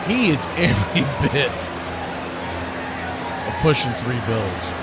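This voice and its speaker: A man's voice: medium pitch, very animated, slow pace, a loud crowd, very noisy. A man calling a live baseball game as a radio play-by-play announcer. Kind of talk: live sports commentary.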